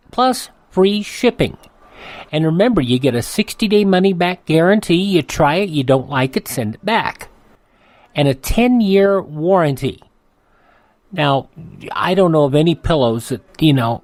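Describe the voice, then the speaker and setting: A man's voice: fairly high pitch, darkish tone, no echo. An American man hosting radio, reading promos and introductions in a casual, folksy, conversational style. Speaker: radio host. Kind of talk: casual host-read advertisement and programme introduction.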